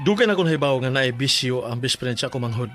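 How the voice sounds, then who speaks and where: An actor in a Bisaya radio drama playing a protective, aggrieved older brother with a hot temper. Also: male voice, mid-range voice, animated, fast talker, loud music behind the voice, natural voice. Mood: somber recounting.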